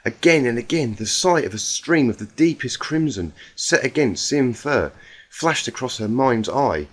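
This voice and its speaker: A man's voice: medium pitch, lively intonation, measured pace, husky. A man reading a scripted story aloud as a narrator, in a dramatic storytelling style. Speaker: fan fiction reader.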